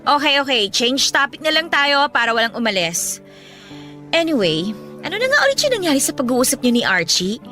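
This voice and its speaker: High, bright, bubbly Filipino girlfriend. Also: big pitch swings, fairly quick, faint music behind, exaggerated voice. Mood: teasing, bossy. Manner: gushing, teasing, exclamatory. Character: bubbly, pushy young female friend teasing about romance. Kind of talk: Filipino radio drama acting.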